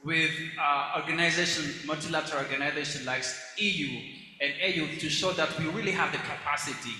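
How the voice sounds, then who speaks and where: A man's voice: on the high side, sharp tone. Earnest man in an echoey hall. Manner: earnest, halting with fillers. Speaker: youth panel speaker. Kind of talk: formal panel remarks. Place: large conference hall.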